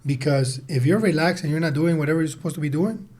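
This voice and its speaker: Mellow, higher-pitched man in his thirties. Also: fast talker. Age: 30s. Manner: emphatic, repeats words for stress. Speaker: podcast guest, sales team leader and entrepreneur. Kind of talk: conversational interview answers.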